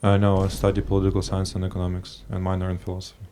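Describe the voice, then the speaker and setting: Young male student from Ukraine, very low monotone, hesitant; faint music. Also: slight background noise. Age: young adult. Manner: hesitant, quick answers with fillers. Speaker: contestant.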